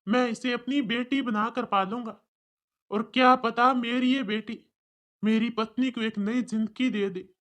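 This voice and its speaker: A woman plays a kind, gentle man in a cartoon tale. He speaks with earnest pleading, in a voice dropped well below the narrator's. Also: a little high, steady tone, a little slow, pitched below the performer's own voice. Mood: hopeful, pleading. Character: kind, gentle man longing to adopt a baby daughter. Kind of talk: cartoon story dialogue.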